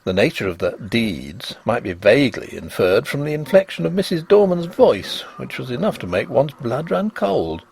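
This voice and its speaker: A man narrating a Victorian ghost story as scripted reading, with an expressive, literary delivery. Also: medium pitch, moderate pace, vocal fry, few pauses, husky.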